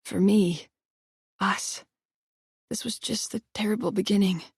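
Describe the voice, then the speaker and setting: A woman's voice: medium pitch, steady tone, unhurried, husky, no room sound, clean studio sound. A woman voicing a tense, evasive young man. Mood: shaken.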